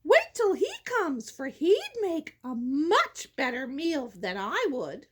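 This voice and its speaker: Little boy goat for a fairy tale, meek, pleading, extremely high pitch played by a woman. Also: huge pitch swings, slow, exaggerated voice. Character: timid little billy goat, young male, pleading.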